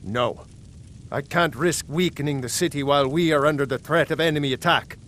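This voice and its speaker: An actor playing a proud, wary lord of a city in a fantasy video game, speaking in a measured, earnest, commanding manner. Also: male voice, a little high, fairly bright tone, a little hiss or hum, close to an everyday voice. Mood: firm, worried. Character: proud, wary male Nord jarl guarding his city. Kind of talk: video game character dialogue.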